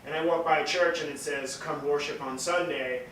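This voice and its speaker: Man in his 30s, rambling and profane, slightly high voice, heard from afar in an echoey room. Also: fairly even intonation, fairly quick, a bit bright, slight background noise. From Montreal, Quebec, Canada. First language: French. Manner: rambling storyteller, frequent fillers and swearing. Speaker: guest, distillery founder. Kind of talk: casual podcast interview. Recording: echoey room, distant mic.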